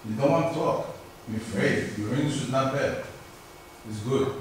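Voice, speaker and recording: A man's voice: mid-range voice, moderate pace. Middle-aged male professor, New York accent, chatty lecture style, asks the class questions, recorded far off in a very echoey room. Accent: New York area American. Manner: conversational, questions the class and answers himself. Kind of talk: university lecture. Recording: very echoey room, mic far off, faint hiss.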